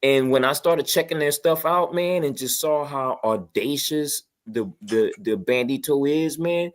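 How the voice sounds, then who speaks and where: A man's voice: mid-range voice, unhurried. A man chatting casually in an emphatic, motivational way, peppering his talk with "man" and "you know". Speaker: online radio show panelist. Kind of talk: casual group chat.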